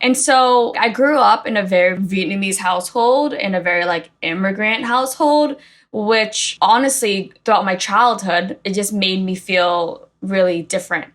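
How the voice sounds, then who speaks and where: A woman's voice: medium pitch, moderate pace. A woman in her thirties from Boston, a guest in a casual interview, talking in a chatty way with lots of "like" and "right?". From Boston, United States. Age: 30s. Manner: chatty, frequent "like" and "right?" tags. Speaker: guest, coffee company founder.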